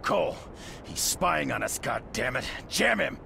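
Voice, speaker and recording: An actor playing a commanding special forces squad leader in a video game, terse, blunt and gruff. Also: male voice, medium pitch, very animated, a little slow, noisy background, exaggerated voice. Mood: angry. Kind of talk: video game cutscene acting.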